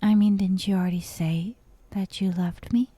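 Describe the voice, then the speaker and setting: A woman voicing a possessive, dominant yandere captor in an ASMR roleplay, breathy and often whispering, sweetly menacing and coy, close to the microphone. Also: medium pitch, fairly even intonation, dark tone, dry recording, close to an everyday voice. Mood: devoted, seductive. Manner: slow, intimate, teasing, coy.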